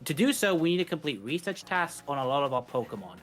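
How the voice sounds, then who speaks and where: A man's voice: fairly high pitch, sing-song, quick pace, fairly bright tone, soft background music. A man giving casual, explanatory co-commentary on a live speedrun, paraphrasing things informally.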